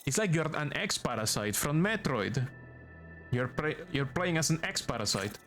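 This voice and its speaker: A man's voice: a little high, very animated, a little slow, a bit bright. Venezuelan man streaming a game. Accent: Venezuelan Spanish, Maracaibo. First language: Spanish. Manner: chatty, playful, reads on-screen text aloud. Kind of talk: casual live-stream commentary while gaming. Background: game music.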